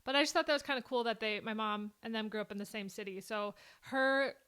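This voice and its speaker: Upbeat Minnesotan vlogger in her late 20s, smooth and clear voice, a bit higher than most. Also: fast talker, fairly bright tone. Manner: chatty, upbeat, explanatory. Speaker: vlogger reacting to a film. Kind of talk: casual commentary.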